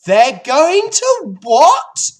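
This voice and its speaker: A woman's voice: high voice, wildly swooping pitch, extremely slow, darkish tone, voice pushed up, exaggerated voice. A woman voicing an anxious, childish young male pig from a storybook, wailing and pleading in an emotional way. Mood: alarmed. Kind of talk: storybook character voice.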